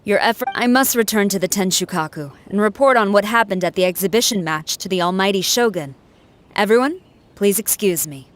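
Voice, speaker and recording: A woman's voice: medium pitch, lightly acted. Woman as a formal, dutiful military officer. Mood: formal, respectful. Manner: formal, measured. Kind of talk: video game voice acting.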